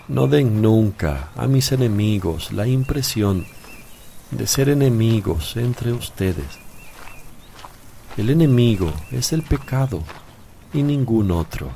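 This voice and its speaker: Casting: male actor for solemn biblical master, very unhurried, widely inflected, firm authority, radio play with faint ambience. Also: medium pitch, gravelly, warm tone, a little hiss or hum, exaggerated voice. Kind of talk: radio drama acting.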